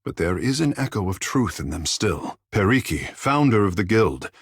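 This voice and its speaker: A man playing a proud, vain young godlike islander sea mage in a fantasy video game, speaking in lofty, flowery, formal phrases with a husky, breathy voice. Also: fairly low pitch, big pitch swings, moderate pace, no room sound, studio quality. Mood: lofty, reverent. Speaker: companion character in a fantasy game. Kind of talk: theatrical video game dialogue.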